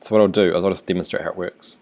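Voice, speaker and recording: A man with a New Zealand accent, a teacher talking through a screencast tutorial in a relaxed, thinking-aloud way. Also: sits low, very fast, vocal fry.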